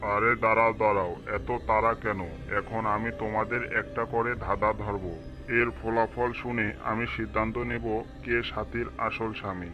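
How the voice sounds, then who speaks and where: A man voicing a deliberate, authoritative village chief who judges disputes in a cartoon story, with music behind. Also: on the low side, flat delivery, close to an everyday voice. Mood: commanding.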